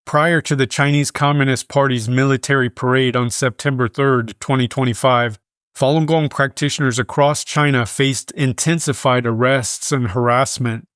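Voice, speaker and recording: A man reading scripted news in a measured, formal manner. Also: medium pitch, steady tone, fairly bright tone, clean tone, no room sound, clean studio sound. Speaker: news reader.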